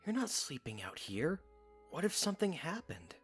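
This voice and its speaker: A woman's voice: low voice, sing-song, normal speed, slightly rough, faint music behind, heavily acted. A woman playing an earnest, bashful, protective young man in an audiodrama, hesitant and dryly understated. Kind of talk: audiodrama acting.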